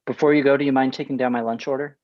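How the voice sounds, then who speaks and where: An actor at a comedy table read playing a passive-aggressive office boss, hesitant, mild and faintly condescending. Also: male voice, medium pitch, steady tone, racing, no echo, natural voice. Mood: mildly condescending. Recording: dull lo-fi dry microphone.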